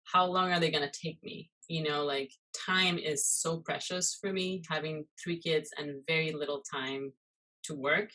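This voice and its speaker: Woman from costa rica, pretty low voice, rambly casual chat with lots of um and you know. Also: fairly even intonation, a little slow, bright, forceful tone, low-quality recording. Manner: chatty, many fillers and "you know". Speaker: guest, muralist and illustrator.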